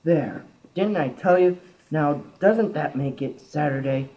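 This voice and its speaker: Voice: medium pitch, unhurried, warm tone, natural voice. A teenager in an amateur radio play acting an exasperated, tight-fisted male boss. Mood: impatient. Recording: muffled amateur recording.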